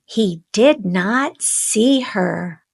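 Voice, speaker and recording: A woman hosting a children's read-aloud, narrating a storybook in simple, deliberate phrases addressed to young listeners. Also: mid-range voice, expressive, gravelly, husky.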